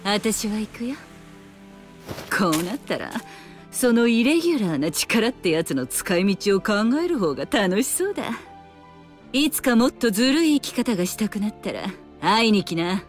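A woman plays a sly, world-weary villainess from an anime game. She talks cool and rough, in a slightly low voice for drama, with lively swings and faint music behind her. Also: moderate pace, close to an everyday voice. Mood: sly, teasing. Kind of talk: Japanese anime game voice acting.

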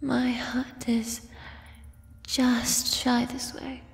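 A woman voicing a gentle, shy giant female troll in a soft, breathy ASMR whisper, with tender, formal phrasing. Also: on the high side, level tone, exaggerated voice. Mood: tender, concerned. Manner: slow, formal old-fashioned phrasing, tender. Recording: long reverb, music behind. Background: fantasy ambience music.

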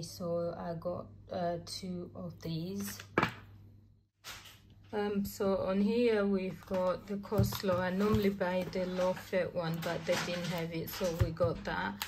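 Woman, casual home vlog, many ums and uhs, lowish voice, faint background noise. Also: flat delivery, takes it slowly. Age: adult mother. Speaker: vlogger showing a grocery haul. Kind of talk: casual chat to camera. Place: home, at a table with groceries.

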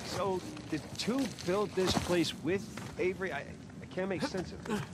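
An eager, curious treasure hunter. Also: male voice, high voice, a little slow, very noisy. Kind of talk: video game cutscene acting.

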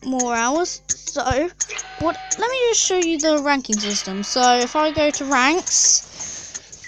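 A woman's voice: high-pitched, lively intonation, slow pace, over music, rough recording. A young female gaming YouTuber chatting casually and rambling through a mobile game, with lots of fillers and giggles.

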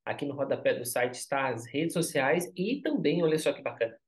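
A man's voice: mid-range voice, animated, brisk. Upbeat man hyping a crypto project. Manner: upbeat, salesy, constant "olha só" and "tá?" tags. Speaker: crypto channel host. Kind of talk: screen-share walkthrough commentary.

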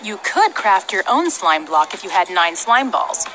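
Matter-of-fact, rule-bound stall vendor. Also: female voice, mid-range voice, sing-song, a little slow, pushed and bright, low-quality recording. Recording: game music behind the voice.

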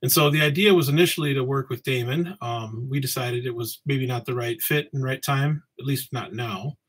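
Samoa-born man in his forties, solo podcast host, husky mid-pitched voice, loose rambling delivery at a home desk webcam. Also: moderate pace. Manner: relaxed, rambling, many ums and uhs. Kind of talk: casual solo podcast talk. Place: home office with bookshelves. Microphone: webcam framing, seated at desk.

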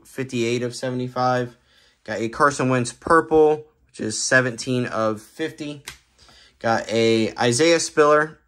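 A young man hosting a sports card channel, briskly running through his card pickups with frequent fillers, filmed at a table indoors. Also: medium pitch, a bit bright. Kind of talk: casual card pickup rundown. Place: indoor room, cards on a table.

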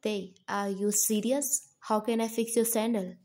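Curt commanding young husband, read by a woman; brisk, sharp. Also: medium pitch, steady tone. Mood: annoyed.

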